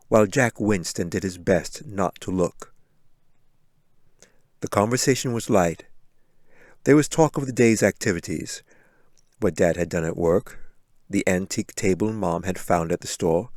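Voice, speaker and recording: A man's voice: low voice, a little mellow, dry recording, studio quality. A man reading a fantasy audiobook as a scripted story narration. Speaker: author reading own audiobook.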